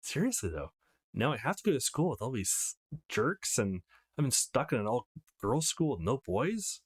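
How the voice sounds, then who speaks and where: A man's voice: medium pitch, sing-song, moderate pace, slightly rough, no echo. A man voicing a feisty, foul-mouthed teenage girl in a visual novel, brash and confrontational. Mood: earnest. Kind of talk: acted visual novel read-aloud.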